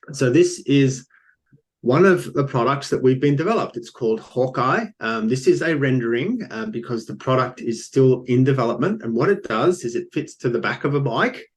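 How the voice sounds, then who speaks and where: An older man with an Australian accent presents his engineering company at a pitch event, explaining in a loose, unscripted way with frequent ums and restarts.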